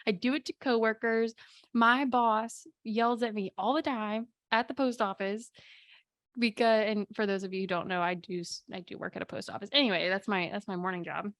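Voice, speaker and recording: A woman with a slightly raspy voice hosting a casual solo podcast from her home office, telling chatty stories with quick asides. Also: medium pitch, fairly even intonation, fairly bright tone, no echo, clean studio sound. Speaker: podcast host and spiritual coach.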